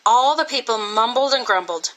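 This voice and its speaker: A woman reading a children's story aloud in an expressive, scripted style with dramatic, deliberate phrasing. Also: on the high side. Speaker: storybook reader.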